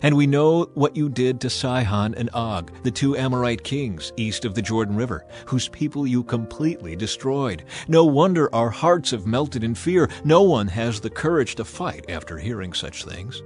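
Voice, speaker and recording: A man's voice: mid-range voice, animated, normal speed, hardly any pauses, darkish tone, faint music behind, natural voice. A man voicing an earnest, pleading woman of ancient Jericho in a declamatory scripture dramatization. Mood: fearful, pleading.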